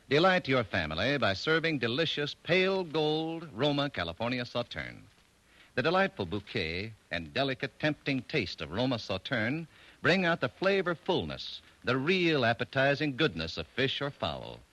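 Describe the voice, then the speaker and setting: A man's voice: medium pitch, big pitch swings, normal speed, fairly bright tone, low-quality recording. A man announcing and selling for a sponsor in 1940s radio style, polished and emphatic. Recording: vintage radio broadcast.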